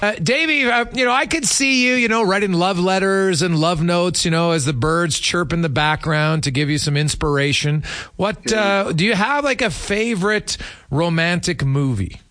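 A man's voice: high-pitched, moderate pace, fairly bright tone, dry recording, studio quality. A man hosting a sports radio show, talking hockey in a casual, conversational way. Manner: conversational, informal.

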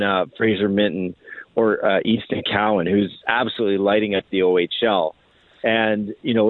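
A man's voice: on the low side, no echo. A man giving conversational hockey analysis as a guest reporter, heard over a thin phone line.

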